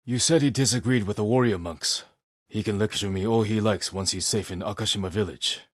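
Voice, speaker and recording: An actor playing a stoic, honorable samurai warrior in a video game, weary and grave, speaking in a measured, restrained and protective manner. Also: male voice, lowish voice, no echo, studio quality, close to an everyday voice. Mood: earnest, reflective. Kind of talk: dramatic video game voice acting.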